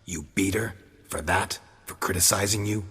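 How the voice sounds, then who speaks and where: An actor playing a stern, cynical monster hunter in a video game, with a gravelly rasp and a terse, dry, sardonic manner. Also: male voice, low-pitched, moderate pace, fairly bright tone, exaggerated voice. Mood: sceptical.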